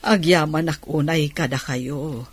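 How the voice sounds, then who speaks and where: A woman playing an elderly, injured village aunt with a Filipino accent and a husky voice, in an Ilocano radio drama. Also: sits low, measured pace. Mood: grateful.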